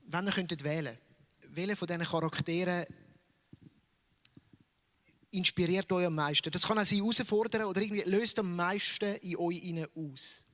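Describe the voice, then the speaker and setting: A man with a Swiss German accent hosting an interview, asking long and gently probing questions. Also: high-pitched, fairly even intonation, moderate pace, pauses often, big-room sound.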